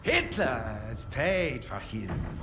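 Villain role: bitter, grandiose Nazi commander, oratorical game cutscene delivery, very unhurried, big melodic swings. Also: male voice, mid-range voice, sing-song, background noise. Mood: scornful, fervent. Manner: slow, oratorical, contemptuous. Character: grandiose, bitter Nazi officer addressing his loyal men. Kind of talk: dramatic video game cutscene acting.

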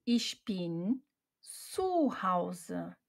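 Very slow, lively woman teaching phrases. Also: fairly low pitch. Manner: each phrase said twice, clearly split. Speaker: German teacher. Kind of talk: slow repeated example sentences for learners.

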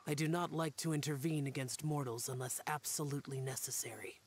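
A man's voice: medium pitch, fairly even intonation, a little fast, slight background noise, close to an everyday voice. A man voicing an aloof young guardian spirit warrior in a game, grave and terse, half-whispered.

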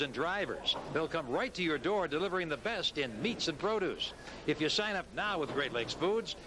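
A middle-aged American man presenting, interviewing and commentating as host of a local bowling TV show. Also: high voice. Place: large indoor bowling alley. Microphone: handheld microphone. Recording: noisy videotape, bowling alley ambience.

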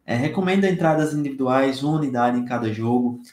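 A young man from Brazil talks through his betting picks in a friendly, upbeat way, speaking directly to viewers. His voice sits at an ordinary middle pitch. The small room around him adds a noticeable echo. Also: quick pace, darkish tone. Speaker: sports betting tipster. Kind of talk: casual betting-tips video. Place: small home room, indoors. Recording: echoey room sound.

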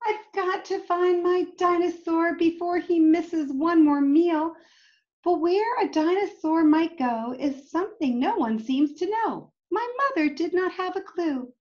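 A woman's voice: very high voice, a little slow, a little mellow, muffled recording, pitched above the performer's own voice. A woman voicing an earnest five-year-old boy from a picture book, searching for his lost pet. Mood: worried, pleading. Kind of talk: expressive picture-book reading.

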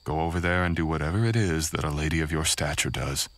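A man's voice: very deep voice, quick pace. A young man playing a dominant, menacing samurai ronin in a roleplay audio, speaking coldly and mockingly, sometimes in a whisper. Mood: taunting. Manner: cold, threatening, mocking, partly whispered. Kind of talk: boyfriend ASMR roleplay.

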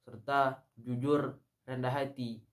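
A young man in his late teens from West Sumatra delivering a formal Friday sermon in a measured, solemn way, chanting the verses he recites. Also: mid-range voice, little pitch movement. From West Sumatra, Indonesia. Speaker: student sermon contestant.

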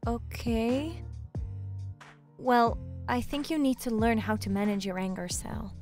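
A woman acting a caring, bubbly spider demon sister in an audio drama, with a reassuring, expressive delivery. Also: on the high side, measured pace, faint music behind. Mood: gentle concern.

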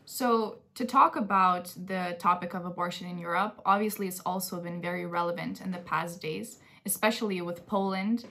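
A woman's voice: lowish voice, moderate pace, hardly any pauses, bright, forceful tone. A young woman from Lithuania, presenting a talk show in a conversational way. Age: young adult. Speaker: co-host. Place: bedroom at home, indoors.

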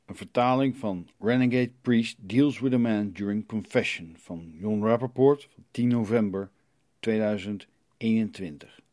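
A man's voice: on the low side, measured pace, a little mellow, dry recording, clean studio sound. A man, narrating a scripted story as its reader.

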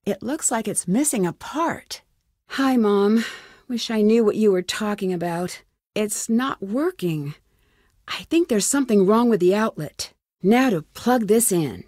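A woman's voice: mid-range voice, lively intonation, unhurried, a little mellow, dry recording. Inquisitive young female sleuth for an adventure game: earnest, plucky musing to herself, close dry sound. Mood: focused. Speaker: lead character in a detective adventure game. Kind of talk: scripted game dialogue, thinking aloud.

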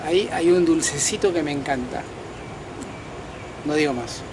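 A man in his fifties from Peru, talking straight to the camera outdoors at night in a casual, slangy, animated monologue. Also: on the high side, normal speed, noisy background. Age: 50s. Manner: animated, talks to viewers directly with slangy asides. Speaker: vlogger and self-declared political candidate. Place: outdoors at night on a balcony over a lit city.

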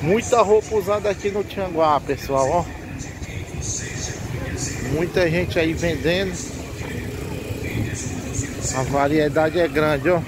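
A man's voice: fairly high pitch, slow, long pauses, noisy background. A man, vlogging casually as he walks an outdoor street market, chatting with vendors and repeating their prices to viewers. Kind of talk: casual street vlog chat. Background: background music.

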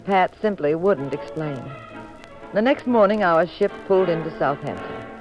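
An actress playing a spirited, teasing detective's wife with an arch, pert manner in a 1950s radio mystery. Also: female voice, lowish voice, animated, normal speed, close to an everyday voice, poor audio. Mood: narrating. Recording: band-limited 1950s radio recording with music.

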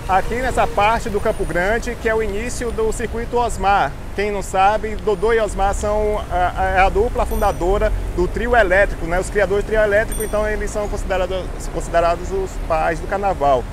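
A man's voice: high voice, fairly even intonation, a little fast, hardly any pauses. Chatty Bahian man vlogging on a street. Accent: Bahian Brazilian Portuguese. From Salvador, Bahia, Brazil. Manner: chatty, fillers and restarts, guiding. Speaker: travel vlogger. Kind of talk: walking city tour vlog. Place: outdoors on a city street with traffic. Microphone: phone held at arm's length, selfie. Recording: loud street traffic noise behind.

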